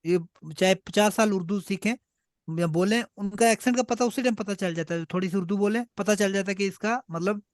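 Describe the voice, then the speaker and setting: A man's voice: high voice, a little fast, no echo, studio quality. A man whose first language is Punjabi, teaching German to beginners in a patient way and checking often that the class follows. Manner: patient, repeats "ठीक है" often. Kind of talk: online class teaching in Hindi-Urdu.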